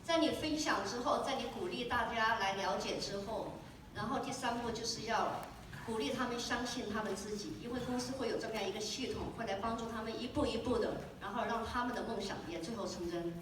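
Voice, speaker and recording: A woman interprets on stage, quick and filler-laden as she restates each point. Her voice sits a little high. She is taped from far back in an echoey convention hall with steady background noise. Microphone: handheld mic, recorded from far in the audience.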